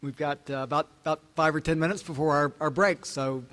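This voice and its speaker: Halting man moderating questions. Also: on the high side, fairly even intonation, fairly bright tone. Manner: informal, halting, with many fillers. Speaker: event moderator.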